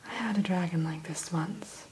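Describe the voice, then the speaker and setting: A woman whispering softly as a dragon hatchery keeper in an ASMR roleplay, gentle and a little formal, close to the mic. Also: lowish voice, slight background noise, poor audio. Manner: soft whisper throughout; gentle, unhurried, mildly formal.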